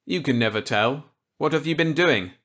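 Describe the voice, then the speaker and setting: Male narrator as earnest government scientist, stiff, uneasy, incredulous, raised pitch, audiobook character. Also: expressive, moderate pace, fairly bright tone.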